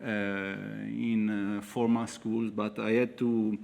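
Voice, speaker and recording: A man from Italy with an Italian accent, an author telling his own story at a festival in halting, broken English. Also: on the low side, level tone, creaky, darkish tone. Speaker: author on a panel. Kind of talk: personal account to a festival audience.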